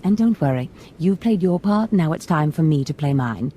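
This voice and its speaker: A woman playing a smooth, scheming Sith noblewoman in a video game, theatrical, honeyed and polite, with mock innocence. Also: sits low, expressive, moderate pace, dark tone, a little hiss or hum. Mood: pleased, conspiratorial.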